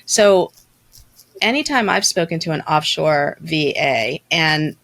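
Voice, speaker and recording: A woman hosts a podcast chat with a low, gravelly voice. She talks in an animated, ranting way and laughs easily. The tone stays casual and conversational. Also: takes it slowly, poor audio. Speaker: podcast host.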